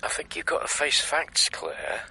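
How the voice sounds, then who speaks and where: A man's voice: a little high, very animated, measured pace, gravelly, sharp tone, slight background noise, heavily acted, poor audio. An actor playing a blunt, insistent man pressing hard truths in a naturalistic radio drama.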